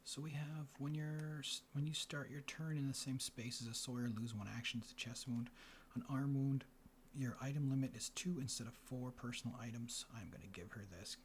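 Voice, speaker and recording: A man hosting a board game in ASMR style, mixing soft speech and whispers as he murmurs an unhurried play-by-play. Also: medium pitch, steady tone. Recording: clear background noise. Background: room ambience, board game handling sounds.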